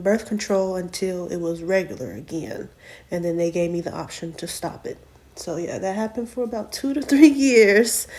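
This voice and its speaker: A woman in her late twenties talking casually to her viewers in a personal vlog, soft-spoken, with frequent ums and self-corrections. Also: mid-range voice. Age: 20s. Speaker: vlog host. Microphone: camera mic, sitting and reading from notes.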